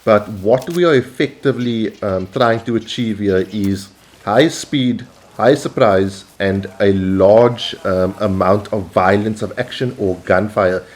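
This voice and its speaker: A South African man hosting his gaming channel, calmly explaining tactics over gameplay with frequent pauses. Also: lowish voice. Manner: measured, instructional, with frequent "um" and "uh" pauses. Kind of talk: gameplay commentary.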